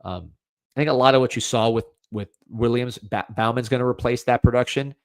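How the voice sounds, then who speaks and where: A man's voice: mid-range voice, a little fast, smooth, no room sound. A young adult man with a light Cuban-American accent hosting a casual livestreamed sports podcast, rambling with restarts and a lot of "man.". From United States. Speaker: podcast host.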